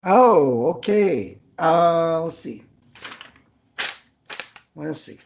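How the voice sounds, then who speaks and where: A man's voice: a little high, lively intonation, very slow, vocal fry, slight background noise. An older man chatting casually as an author guest on a podcast, hesitant and rambling as he thinks aloud. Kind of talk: casual unscripted interview chat.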